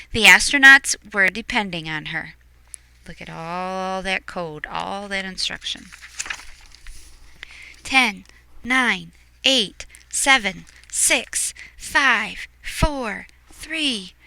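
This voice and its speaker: A woman reading a picture book aloud expressively, explaining things to young listeners in hushed asides. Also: mid-range voice, creaky, clear, resonant tone, rough recording.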